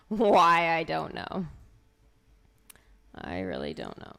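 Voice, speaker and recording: A woman game streamer giving casual, unhurried commentary, thinking aloud as she plays. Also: sits low, wildly swooping pitch, creaky, a bit bright.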